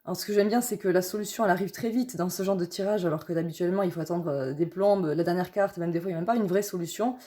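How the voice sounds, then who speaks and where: Young french woman, hoarse from a cold, rambly chatty tarot vlog, low mellow voice. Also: fast talker, hardly any pauses. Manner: chatty, rambling with many fillers. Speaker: tarot reader. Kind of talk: casual tarot vlog chat.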